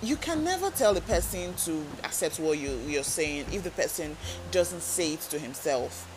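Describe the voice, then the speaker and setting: A woman's voice: sits low, animated, normal speed, noisy background. A woman hosting a self-help podcast, talking earnestly and coaching listeners with direct questions. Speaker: podcast host. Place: talking alone into a microphone.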